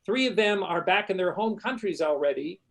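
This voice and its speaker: A man's voice: high-pitched, expressive, brisk, gravelly, fairly bright tone. Upbeat man hosting an online ceremony. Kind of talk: formal welcoming address. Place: university journalism school.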